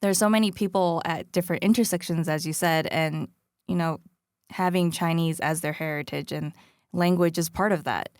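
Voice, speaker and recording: A woman hosting a podcast, narrating in a reflective, measured way and interviewing a guest. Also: lowish voice, steady tone, a little mellow, smooth, no room sound, clean studio sound. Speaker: podcast host.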